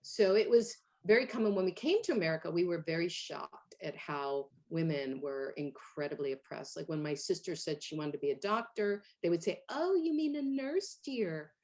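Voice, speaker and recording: A middle-aged woman from Hungary, a guest in an interview, telling her story in a lively way with fillers and laughs. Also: fairly low pitch, normal speed, few pauses. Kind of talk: conversational interview.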